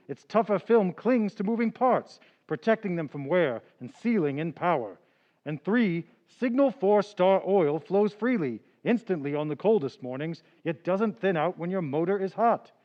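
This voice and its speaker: A polished old-time radio announcer. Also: male voice, dull audio. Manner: polished sales-pitch delivery. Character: a smooth, upbeat male radio announcer. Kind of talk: period radio commercial.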